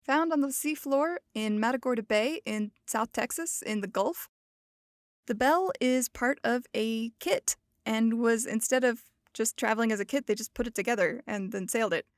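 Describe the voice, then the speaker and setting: A woman's voice: a little high, normal speed, no echo, clean studio sound. A woman hosting a podcast, explaining in a casual, playful and self-mocking way. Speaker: podcast host. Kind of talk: casual educational podcast talk.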